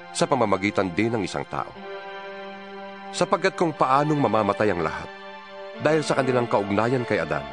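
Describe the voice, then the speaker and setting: Male scripture reader, solemn and emphatic, animated intonation, music bed underneath. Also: mid-range voice. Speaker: Bible reader. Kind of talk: dramatized scripture reading.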